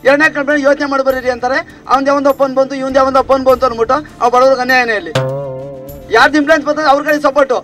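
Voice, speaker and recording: A man's voice: very high voice, level tone, very fast, a bit bright, soft background music. A man voicing a complaint in a street interview, insistent and repetitive. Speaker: member of public interviewed.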